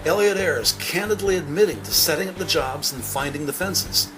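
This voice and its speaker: Male narrator for a crime audio drama: slightly high register, scripted storytelling, prominent music underneath. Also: moderate pace, low-quality recording.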